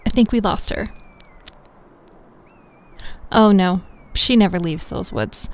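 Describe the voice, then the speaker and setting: A woman playing a friendly, chatty young schoolgirl rescuer in a roleplay audio drama, with a casual, animated delivery. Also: medium pitch, takes it slowly, close to an everyday voice. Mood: relieved, chatty.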